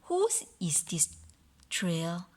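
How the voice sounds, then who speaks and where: A woman voicing a bold, curious young monster child in a storybook reading, exclaiming and questioning excitably. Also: lowish voice, drawn-out words, exaggerated voice. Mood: excited, curious.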